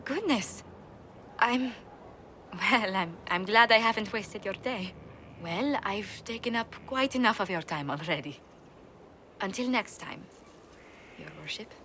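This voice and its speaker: A woman's voice: mid-range voice, lively intonation, a little slow, long pauses, bright, forceful tone, a little hiss or hum. Poised, courteous noblewoman diplomat. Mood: flustered. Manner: formal, eloquent, polite. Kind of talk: video game dialogue acting.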